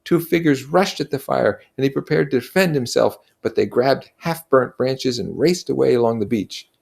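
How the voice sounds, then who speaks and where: A man's voice: mid-range voice, lively intonation, normal speed, vocal fry, a little mellow, breathy edge. A man reading a novel aloud as a teacher, with explanatory comments along the way. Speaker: reader and teacher.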